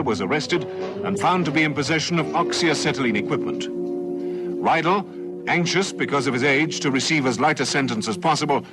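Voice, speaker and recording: A man giving measured, formal narration for a radio crime drama. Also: medium pitch, natural voice. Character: sober male crime-story narrator.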